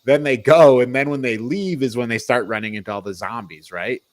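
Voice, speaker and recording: A man co-hosting a podcast, chatting casually through a film review in a halting, rambling way. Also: mid-range voice, no echo. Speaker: podcast co-host.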